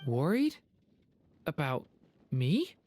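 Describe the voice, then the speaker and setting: A woman playing a gentle young dragon boy in human form in an anime video game, speaking softly and hesitantly with sincerity. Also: low-pitched, sing-song, extremely slow, no room sound, faint music behind, heavily acted. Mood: apologetic, touched.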